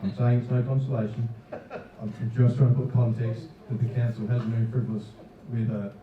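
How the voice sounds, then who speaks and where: A man speaking as a council official at a public meeting, hesitant and halting with many fillers. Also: mid-range voice, level tone, slight background noise. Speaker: council official answering questions. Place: civic centre meeting hall. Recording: very echoey, distant, dull sound.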